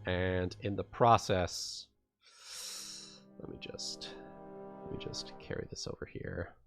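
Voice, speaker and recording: A man running a livestreamed tabletop game as game master, narrating casually and haltingly, often repeating his phrases. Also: sits low, fairly even intonation, gravelly, loud music behind the voice.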